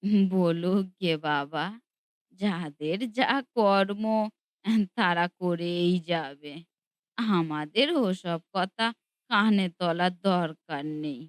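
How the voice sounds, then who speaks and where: A woman's voice: lowish voice, slow, clear, resonant tone, no echo. Woman voicing a tender, loving grandmother. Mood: soothing. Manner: soft, tender, soothing. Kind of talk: cartoon dubbing.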